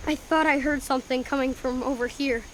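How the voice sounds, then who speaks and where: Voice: sits high, fairly even intonation, brisk, natural voice, low-quality recording. A young performer playing a jumpy, suspicious boy in a radio mystery, blurting things out nervously. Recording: faint background noise.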